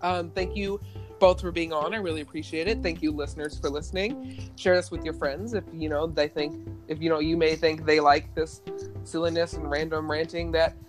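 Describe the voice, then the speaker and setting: A man's voice: on the high side, steady tone, over music. A man from Kentucky with a light Kentucky accent, hosting a casual podcast in a chatty, rambling way. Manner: chatty, rambling, quick to laugh. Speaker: podcast host.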